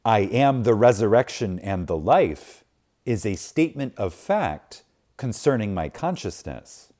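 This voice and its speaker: A man reading a spiritual book aloud in a solemn, reverent manner, with deliberate pauses and emphasis. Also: lowish voice, big pitch swings, mellow. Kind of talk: reverent scripted reading, audiobook-like.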